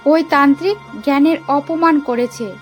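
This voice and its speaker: A woman's voice: high voice, normal speed, soft background music, close to an everyday voice. A woman playing a wronged, grieving young mother in a cartoon moral story, declaiming with righteous defiance. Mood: bitter outrage. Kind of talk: dramatic animated moral story acting.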